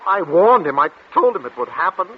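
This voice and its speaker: A man's voice: high-pitched, sing-song, fairly quick. An actor playing an anxious, guilt-ridden man, hesitant and agonized, in 1940s radio style. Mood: anguished, guilty. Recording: muffled, faintly noisy 1940s radio recording.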